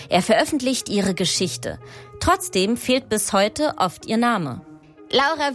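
A woman hosting a podcast, moving between scripted narration and addressing guest and listeners. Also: fairly high pitch. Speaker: podcast host.

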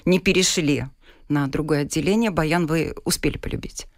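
A woman radio host reading a scripted introduction and asking a guest measured questions. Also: low voice, no echo, clean studio sound.

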